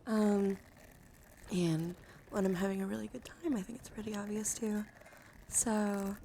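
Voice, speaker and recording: A woman hosting an ASMR livestream, chatting gently and soothingly to viewers in a hushed voice that often drops into whispers, slightly strained from just waking up. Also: mid-range voice, fairly even intonation, measured pace, slight background noise.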